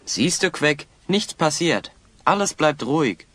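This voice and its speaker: Husky, animated young hero. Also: male voice, fairly high pitch, no room sound. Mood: reassuring. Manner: brisk, urgent, teasing. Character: plucky, confident young male fantasy hero. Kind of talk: German audio play acting.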